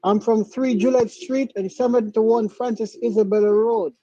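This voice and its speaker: A man's voice: high voice, fairly even intonation, moderate pace, dark tone, dry recording, lo-fi sound. A man from Jamaica with a Jamaican accent, speaking insistently and proudly in a live online debate and repeating his points.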